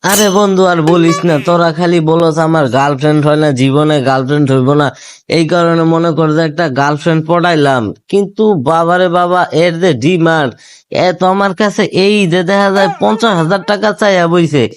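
A man's voice: fairly high pitch, steady tone, a little fast, clear, resonant tone, dry recording, close to an everyday voice. A man voicing a hapless, scheming young son in a comic cartoon, whiny and exclaiming, with a Bangladeshi accent. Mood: complaining.

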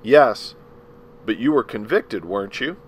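A man's voice: fairly low pitch, lively intonation, unhurried, a little hiss or hum. A man voicing a cold, scornful prosecutor who presses a witness with contempt. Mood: sneering.

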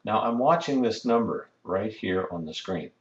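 A man's voice: fairly low pitch, lively intonation, moderate pace, echoey room, muffled recording. A man giving a methodical, step-by-step technical lesson as an instructor. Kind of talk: explanatory screen-recorded lesson.

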